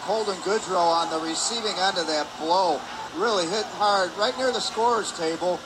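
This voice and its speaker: A man's voice: high voice, moderate pace. A man giving live hockey analysis as a color commentator on a game broadcast. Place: indoor ice hockey arena. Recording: noisy arena broadcast with ambient hum. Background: clear ambient arena noise.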